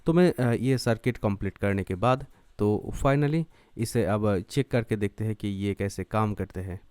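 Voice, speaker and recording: A man's voice: on the low side, unhurried, a little mellow, slight background noise. A man narrating a do-it-yourself tutorial step by step, mixing English terms and phrases into his speech. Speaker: electronics tutorial host.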